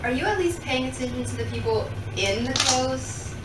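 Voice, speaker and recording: A woman acts as an opinionated gossip reporter on a 1920s radio show. Her fairly high, emphatic voice sounds distant in an echoey room. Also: moderate pace, natural voice. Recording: echoey room, distant mic, clear noise.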